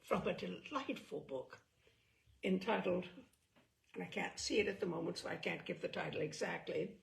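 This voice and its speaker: A woman's voice: on the low side, moderate pace, gravelly, poor audio. A woman hosting a story-reading show, talking casually to listeners in an effusive, affectionate, rambling manner.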